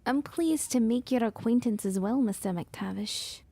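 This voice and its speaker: A woman acting a demure, mellow-voiced young lady. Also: fairly high pitch, normal speed, breathy edge, dry recording. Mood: polite, eager. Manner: proper, polite, soft-mannered. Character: demure, agreeable, determined nineteen-year-old society lady. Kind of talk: period audio drama acting.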